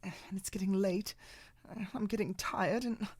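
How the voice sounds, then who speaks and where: A man's voice: sits high, steady tone, moderate pace, breathy edge, dry recording, pitched above the performer's own voice. A man voicing a timid little male cloud in a bedtime story, anxious and stammering. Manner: stammering, breathless worry.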